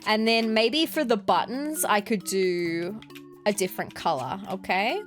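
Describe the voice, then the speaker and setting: A woman's voice: medium pitch, unhurried, over music. A woman with an Australian accent, sounding nasal from allergies, chatting casually as she plays and builds in a video game. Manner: chatty, upbeat, thinking aloud while building. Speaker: let's play gaming host. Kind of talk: casual gameplay commentary.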